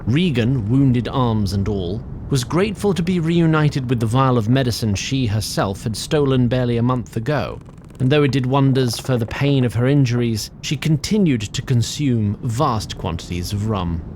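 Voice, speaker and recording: A man as a wry, very animated fantasy storyteller. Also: medium pitch, moderate pace, a little hiss or hum, close to an everyday voice. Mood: measured, wry. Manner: formal, wry, prone to asides. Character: chatty, digressive, fussy male tree sprite storyteller. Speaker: narrator. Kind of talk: theatrical scripted fantasy narration.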